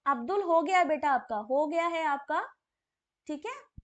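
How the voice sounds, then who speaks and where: A woman with a hoarse, sore throat teaching a live online exam-prep class, reading out questions and chatting with viewers by name. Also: high voice, lively intonation, measured pace, a bit bright.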